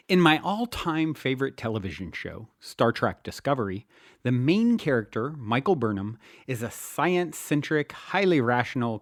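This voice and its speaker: Expressive man reading spiritual self-help. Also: mid-range voice, no room sound, clean studio sound. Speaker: podcast host and author reading own book. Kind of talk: spiritual self-help audiobook narration with casual podcast introduction.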